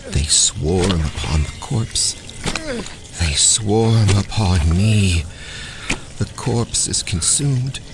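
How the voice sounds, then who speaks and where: A man's voice: fairly low pitch, noisy background, full character voice. An actor playing a sinister man in an audio drama, ominously intoning about feasts and swarms like an incantation. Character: sinister man chanting of corpses and a swarming horde.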